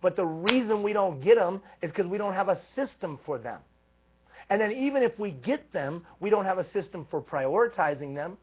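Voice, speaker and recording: A man giving a live leadership coaching talk, energetic and emphatic, stacking repeated phrases. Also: high-pitched, moderate pace, slight background noise. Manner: energetic, emphatic, repetitive build-ups. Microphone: live stream microphone. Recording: tinny phone-like live stream.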